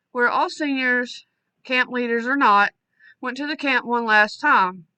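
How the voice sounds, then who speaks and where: A woman hosting a storytelling channel, reading listener stories aloud in a casual way and breaking off for quick asides. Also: fairly high pitch, pushed and bright, clear, resonant tone, no room sound, poor audio.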